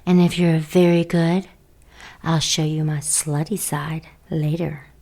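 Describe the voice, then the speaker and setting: Lowish female voice, unhurried drawn-out pace, sultry teasing temptress, radio drama. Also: flat delivery, noisy background. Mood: playful, teasing. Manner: sultry, playful, languid. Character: seductive, teasing female supernatural lover.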